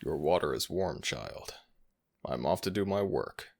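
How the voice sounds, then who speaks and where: Man playing a jackal god, ancient, proud, teasing, husky, lively pitch swings, slow formal delivery, audio roleplay. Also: heavily acted. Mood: gentle. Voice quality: gravelly, husky. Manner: slow, drawn-out, formal speech. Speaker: voice actor.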